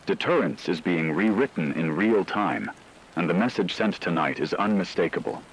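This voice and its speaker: A man playing a solemn radio news correspondent, delivering a scripted emergency war broadcast in a measured, dramatic way. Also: deep voice, slightly rough, exaggerated voice, rough recording.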